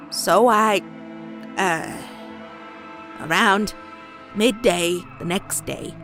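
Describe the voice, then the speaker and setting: A woman voicing a stern, bitter old farm grandmother pony in a comic dub, with a Southern US accent and folksy, deliberate delivery. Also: mid-range voice, fairly bright tone. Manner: folksy, slow, deliberate storytelling. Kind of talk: dramatic character acting in comic dub. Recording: dramatic music behind.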